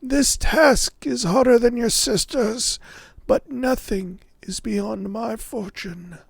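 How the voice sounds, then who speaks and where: Grand old fairy tale merchant dad, real creaky, slow and stately, pitched way up. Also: male voice, no room sound, heavily acted. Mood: grandly assured. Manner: grand, measured, archaic speech. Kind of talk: storybook character voice.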